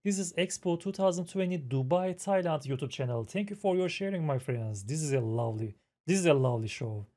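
A man in his thirties with a Turkish accent, hosting a reaction video with halting, admiring remarks and fillers, speaking into a headset mic in a small room at home. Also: a little high, expressive. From Turkey. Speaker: reaction channel host. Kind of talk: casual reaction commentary. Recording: loud music from the video underneath.

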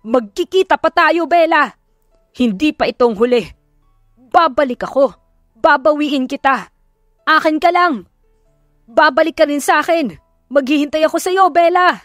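Woman acting a desperate, pleading jilted husband, raised pitch. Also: a little slow, pauses often, dry recording, studio quality, soft background music. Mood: possessive, defiant. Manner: pleading, overwrought, insistent. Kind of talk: audiobook romance drama acting.

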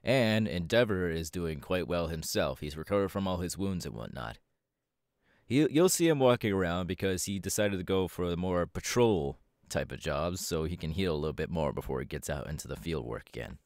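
A laid-back superhero mentor acted by a man speaks in a low voice for an ASMR roleplay. He is proud and reassuring, drawling casually with hesitant pauses. The sound is dry, with no room around it. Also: expressive, darkish tone, no room sound. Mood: casual, explaining.

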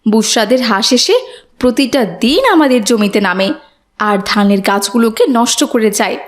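A woman's voice: on the high side, moderate pace, close to an everyday voice. A woman voicing an exasperated farmer's wife and mother in a moral cartoon, nagging with indignant questions. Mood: complaining.

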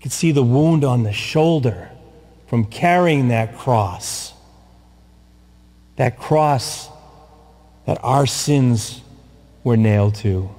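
Older priest preaching real slow and solemn, big church echo. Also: male voice, medium pitch, darkish tone. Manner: slow, solemn and deliberate, with long pauses and repetition. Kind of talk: sermon. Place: large church, from the pulpit. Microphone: far camera, pulpit mic. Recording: long church reverb.

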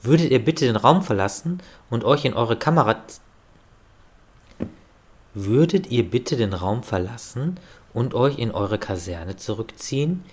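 A man voicing a courteous, formal commander in a fantasy audio drama, speaking in a measured way. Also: mid-range voice, expressive, slightly rough. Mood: formal, polite.

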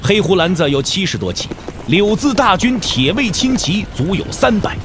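A man's voice: sits high, very animated, moderate pace, background noise. A man narrating a martial-arts audio drama in a dramatic, scripted storytelling style.

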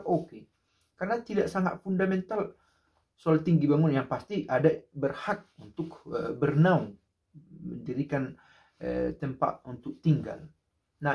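A man's voice: a little high, creaky, darkish tone, highs cut off. Reflective man musing on camera. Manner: reflective, rhetorical, many fillers and restarts. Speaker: commentator. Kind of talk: casual spoken commentary to camera. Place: small indoor room by a window.

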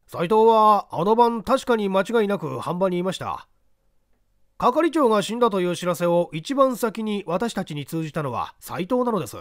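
A man's voice: sits high, big pitch swings, normal speed, dry recording, clean studio sound, voice pushed up. A man voicing an earnest male labourer giving emphatic witness testimony in an audiobook reading. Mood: earnest, urgent. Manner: emphatic, insistent.

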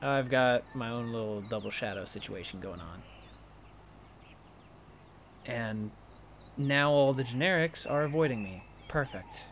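An awkward, sheepish young store worker. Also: male voice, medium pitch, fairly bright tone, a little hiss or hum. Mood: sheepish, wry. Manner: halting, casual, wry. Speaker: voice actor in an audio drama. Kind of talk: naturalistic scripted audio drama dialogue.

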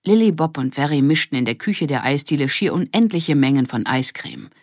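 A woman's voice: deep voice, expressive, a little fast, a little mellow, dry recording, clean studio sound. A woman reading a scripted children's story as an audiobook narrator.

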